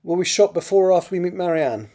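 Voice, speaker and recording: A man puts on an anxious, forlorn man for an audiobook. The voice is pushed higher than a plain reading voice, yet stays soft in timbre. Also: very animated, fast talker, slightly rough, heavily acted. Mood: anxious questioning.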